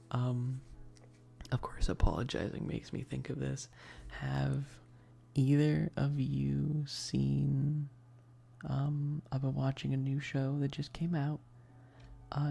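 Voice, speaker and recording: A soft-spoken ASMR livestream host who chats casually in a breathy voice that often drops to a whisper, rambling and hesitant in a self-deprecating way. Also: mid-range voice.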